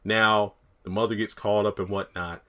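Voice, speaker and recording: A man with a raspy, just-woken voice rambling casually through his TV commentary and thinking aloud. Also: on the low side, little pitch movement, normal speed, sharp tone. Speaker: TV commentary YouTuber.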